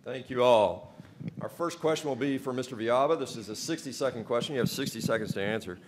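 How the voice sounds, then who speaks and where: A man with a medium-pitched voice moderates a political forum. He puts questions in a formal, scripted way. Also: quick pace. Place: public forum venue.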